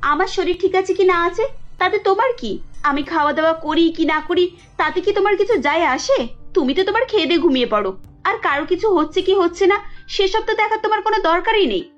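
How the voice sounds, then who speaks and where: A woman's voice: very high voice, brisk, soft background music, pitched above the performer's own voice. A woman voicing a moody, emphatic young wife in a cartoon, melodramatic in delivery, with dull lo-fi sound. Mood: angry, scolding. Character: moody, dramatic young wife, quick-tempered yet easily delighted. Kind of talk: cartoon story dialogue.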